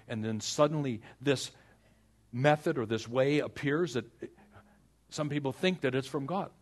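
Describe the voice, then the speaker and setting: A man preaching as a pastor, earnest and exhorting, with dramatic pauses, repeated phrases and a husky voice. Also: mid-range voice. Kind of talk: sermon with personal stories and prayer.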